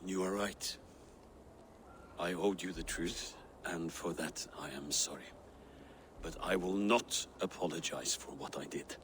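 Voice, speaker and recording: Aging Norse patriarch for a video game: formal, earnest, bright forceful tone, unhurried, light background noise. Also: male voice, low-pitched. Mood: remorseful. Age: older. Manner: declamatory, earnest, formal phrasing. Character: aging former Norse king, proud but wounded father. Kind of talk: dramatic video game acting.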